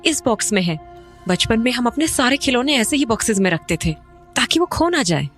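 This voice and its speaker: A woman playing an uneasy young mother in a horror audio drama, expressive and questioning. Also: a little high, fairly quick, soft background music. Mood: subdued. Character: uneasy young mother back in childhood home.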